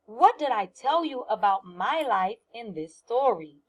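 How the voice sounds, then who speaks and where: Slow-paced woman teacher reading aloud. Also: a little high, animated. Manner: deliberate, emphatic, questioning children and pausing for answers. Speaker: first grade teacher. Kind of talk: read-aloud lesson for young children.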